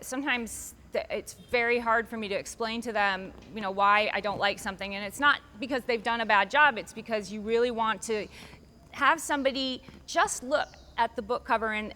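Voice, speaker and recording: A woman's voice: on the high side, brisk, bright, forceful tone. A woman author answering interview questions in a chatty, rambling way, restarting her sentences often and lifting her voice for emphasis.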